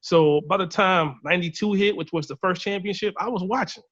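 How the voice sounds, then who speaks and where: A man's voice: high voice, a little fast, no room sound. A man in his thirties from Illinois, chatting casually and telling stories on a video call. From Illinois, USA. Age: 30s. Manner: relaxed, chatty storytelling with laughs. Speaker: band member on a video chat. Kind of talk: casual chat. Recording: dull lo-fi video call audio.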